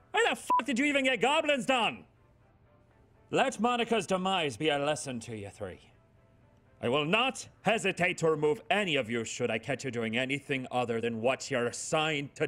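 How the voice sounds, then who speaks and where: A high school valedictorian with a high voice that swings widely in pitch. He is a foul-mouthed, domineering game villain who bullies those below him. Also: a bit bright. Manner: domineering, swearing, menacing. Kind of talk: video game cutscene acting.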